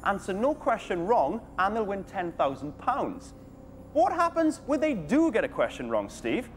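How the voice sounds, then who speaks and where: Man, game show host, high bouncy voice, cheeky deadpan quips, studio music behind. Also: moderate pace. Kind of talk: scripted playful presenting. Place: large TV studio.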